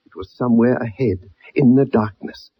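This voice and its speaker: A man's voice: mid-range voice, moderate pace, slightly rough, close to an everyday voice. An actor playing an earnest, dogged young ex-soldier, telling his story in a formal, dramatic way in a radio drama. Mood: earnest recounting. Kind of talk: 1960s radio drama acting. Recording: muffled old radio broadcast.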